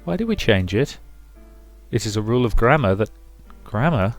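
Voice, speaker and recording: A man's voice: medium pitch, big pitch swings, moderate pace, soft background music. A man voicing a timid, self-doubting young woman who stammers and apologises in a visual novel reading. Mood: hesitant, confused. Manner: stammering, hesitant.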